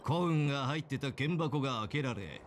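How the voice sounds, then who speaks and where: A man's voice: mid-range voice, animated, fast talker, a little hiss or hum, natural voice. A man voicing a stern investigator who questions suspects formally in a Japanese game. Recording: game dialogue over clear background music.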